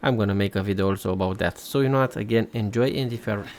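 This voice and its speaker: A man's voice: on the low side, racing, a little mellow, slight background noise. A man talking casually as a YouTuber, giving commentary over gameplay. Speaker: YouTuber, game channel host.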